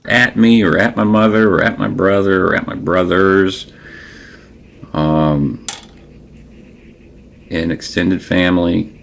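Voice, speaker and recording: A man whose native language is Creole French, speaking in a reflective, unscripted personal monologue, halting and searching for words. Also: sits low, fairly even intonation, gravelly, a bit bright. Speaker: personal documentary narrator.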